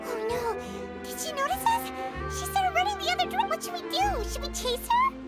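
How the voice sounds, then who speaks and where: Very high squeaky childlike fairy companion. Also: female voice, sing-song, fairly quick. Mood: excited, worried. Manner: exclamatory, refers to self in third person. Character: tiny floating childlike fairy companion, excitable, naive. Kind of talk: video game voice acting. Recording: loud music behind the voice.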